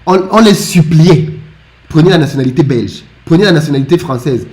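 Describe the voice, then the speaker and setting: Animated man with stammered restarts. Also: on the high side, a little fast, rough recording. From Africa. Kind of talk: casual discussion.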